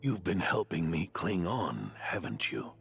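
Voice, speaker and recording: Old knight, low gravelly voice, slow tender delivery, dying and gentle, game drama, music underneath. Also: male voice, big pitch swings, full character voice. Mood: weary, tender. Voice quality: gravelly, breathy at times. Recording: music behind the voice.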